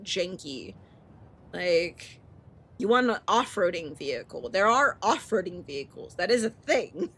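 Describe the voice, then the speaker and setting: A woman streamer reading a visual novel aloud and commenting casually, animated, expressive and free with swearing. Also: fairly high pitch. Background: game music.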